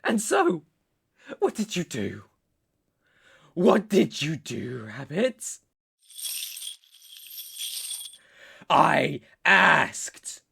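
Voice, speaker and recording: A man with a British accent playing an unstable, obsessive mafia boss in a dramatic ASMR roleplay, possessive and volatile, with a breathy, gravelly voice. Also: sits high, pushed and bright, no room sound, studio quality, heavily acted. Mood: angry interrogation. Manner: possessive, volatile, swinging from whispers to outbursts. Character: unstable, obsessive, menacing male mafia boss, jilted lover.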